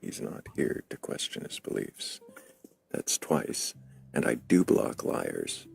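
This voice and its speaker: Very deep gravelly man hosting. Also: very animated, takes it slowly, faint music behind. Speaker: live show host. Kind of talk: live call-in talk.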